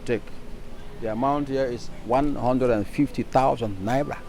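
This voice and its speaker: A man's voice: medium pitch, dark tone, a little hiss or hum, natural voice. A man as a stern Nigerian moneylender. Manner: stern and measured.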